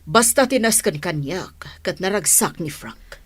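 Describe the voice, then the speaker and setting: Woman acting blunt mother, animated pitch swings. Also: unhurried, breathy edge, no room sound. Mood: grudging acceptance. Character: frank, money-minded middle-aged mother of a grown son. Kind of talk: Ilocano comedy radio drama acting.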